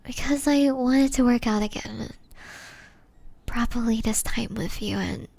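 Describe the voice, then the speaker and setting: A young woman playing a tender, remorseful ex-girlfriend in an ASMR roleplay, whispering softly and intimately with breathy hesitation. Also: fairly high pitch, measured pace. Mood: wistful, remorseful.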